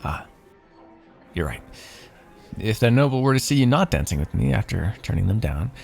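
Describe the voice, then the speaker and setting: A man's voice: fairly low pitch, sing-song, moderate pace, gravelly, darkish tone, faint music behind. A man playing a wry, teasing royal heir and former mercenary in an ASMR fantasy roleplay, with formal, theatrical phrasing. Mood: surprised.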